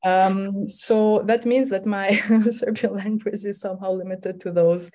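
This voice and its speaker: A woman in her forties whose first language is Albanian, speaking English as a playwright guest in an unhurried, hesitant way, with many fillers and restarts. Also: medium pitch, steady tone, warm tone. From Kosovo. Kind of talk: conversational interview.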